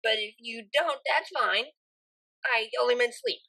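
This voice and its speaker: A woman's voice: on the high side, a little slow, sharp tone, pitched above the performer's own voice, heavily acted. A woman acts out a flustered young female unicorn DJ from a pony story. She speaks informally and hesitantly, tripping over her words with awkward, smitten shyness.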